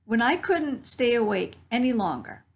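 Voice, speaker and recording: A woman's voice: on the high side, very animated, moderate pace, slightly rough. A woman reading a children's story expressively, with chatty asides to young listeners about the pictures. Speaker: library storytime reader. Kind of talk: picture-book read-aloud with chatty asides.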